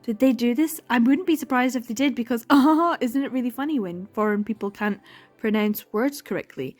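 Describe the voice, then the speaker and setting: A woman's voice: on the high side, fairly quick, a little mellow, soft background music. A young woman in a casual reading vlog, talking through a manga with exasperated sighs, laughs and dramatic repetition. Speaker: book vlogger.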